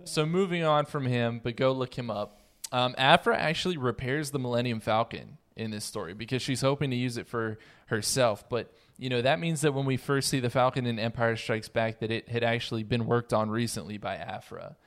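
A man's voice: mid-range voice, a little fast, fairly bright tone. A man hosting a casual roundtable fan discussion, talking with enthusiasm and emphasis. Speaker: podcast host.

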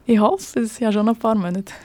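A woman's voice: fairly high pitch, fast, darkish tone, dry recording. A young woman from Switzerland with a Swiss German accent, a police officer telling of her work in a podcast interview, hesitant and full of fillers.

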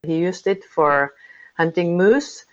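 A woman's voice: on the low side, slow. A woman with a Norwegian accent answering interview questions, speaking carefully and hesitantly as a non-native English speaker. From Norway. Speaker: guest, dog breeder. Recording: dull lo-fi remote line.

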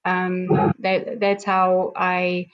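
A middle-aged woman from South Africa, a native Afrikaans speaker with a South African accent, slowly telling her life story as a guest, with many pauses and ums. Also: fairly low pitch, monotone, soft background music. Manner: slow, halting, many ums and restarts. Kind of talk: reflective personal storytelling. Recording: dull lo-fi sound.

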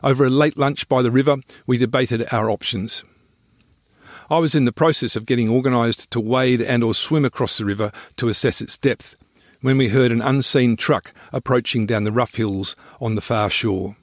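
A man narrating his own scripted travel memoir in a measured, descriptive storytelling manner. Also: mid-range voice, fairly even intonation, dry recording, clean studio sound.

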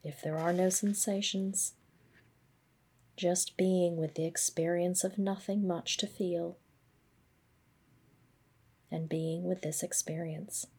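A woman gently guiding a body scan meditation, speaking in a measured, instructional way. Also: fairly low pitch, steady tone, husky. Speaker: mindfulness practice guide.

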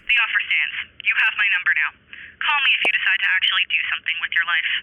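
An actress playing a cool, dry, condescending sister in a fiction podcast, speaking with clipped sarcasm through a telephone filter. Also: female voice, mid-range voice, quick pace, a little hiss or hum. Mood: scornful. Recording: muffled phone line over faint music.

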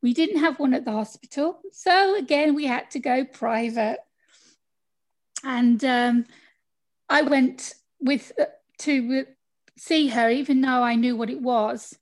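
A woman's voice: sits high, unhurried. A middle-aged woman from the UK with a British accent, telling her story as a guest in a casual interview over a video call, chatty and laughing often. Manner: chatty, laughs often, acts out quoted people. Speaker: podcast guest.

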